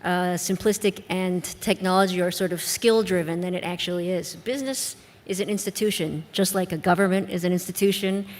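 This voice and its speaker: Low-voiced Midwestern American woman, echoing hall. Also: darkish tone. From United States. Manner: measured, reflective, with frequent restarts. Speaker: educator on a panel. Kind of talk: panel discussion answer. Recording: long reverb of a conference hall.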